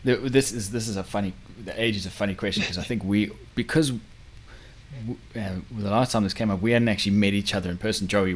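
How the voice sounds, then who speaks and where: A man's voice: lowish voice, slightly rough. A man in his thirties, born in South Africa, co-hosting a podcast in casual chat, rambling with many restarts. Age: 30s. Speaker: podcast co-host. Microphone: podcast microphone.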